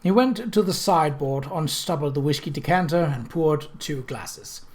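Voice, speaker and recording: A man's voice: a little high, lively intonation, moderate pace, a little mellow. A man reading a period novel aloud as dramatic scripted narration. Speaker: audiobook reader.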